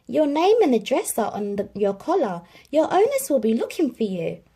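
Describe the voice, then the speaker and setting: Woman playing a kind male pound dog, gentle, reassuring, a bit raised. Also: on the high side, sing-song, moderate pace, slightly rough, pitched above the performer's own voice, full character voice.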